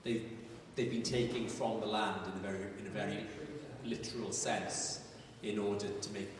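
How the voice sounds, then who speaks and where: Man, lowish voice, thoughtful filmmaker at a Q&A, echoey hall, mic at a distance, room noise. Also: steady tone, normal speed. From Wales. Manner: thoughtful, searching for words. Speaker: filmmaker answering audience questions. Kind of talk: live Q&A answers.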